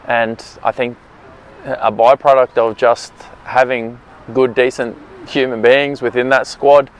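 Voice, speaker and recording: A man in his forties, a triathlon coach, explaining thoughtfully with many pauses beside an outdoor pool on a lapel mic. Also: medium pitch, flat delivery, poor audio. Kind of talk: interview explanation.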